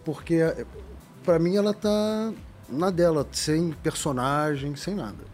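A man, the host's father, chatting as a guest with brisk, direct remarks. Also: on the high side, darkish tone, faint music behind. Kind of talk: casual chat.

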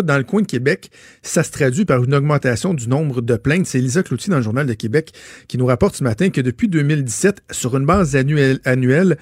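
A man's voice: mid-range voice, no room sound, studio quality. A man with a Quebec French accent co-hosting a radio show, chatting casually and laughing as he tells stories. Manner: laughing, animated storyteller. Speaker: radio co-host. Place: radio studio. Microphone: studio mic.

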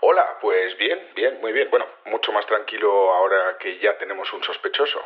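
A man with an accent from Spain playing an earnest, chatty security chief, explaining haltingly over a telephone in an audio drama. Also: lowish voice. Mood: earnest, explanatory. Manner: hesitant, halting, colloquial, explanatory. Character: earnest, chatty male head of community security. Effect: telephone filter. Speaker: security chief interviewed by phone. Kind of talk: naturalistic audio drama interview. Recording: dull, echoey phone line.

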